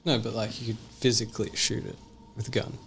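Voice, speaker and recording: A man's voice: fairly low pitch, level tone, fast, fairly bright tone, a little hiss or hum. A man playing a tabletop roleplaying game, chatting and bantering in a casual way.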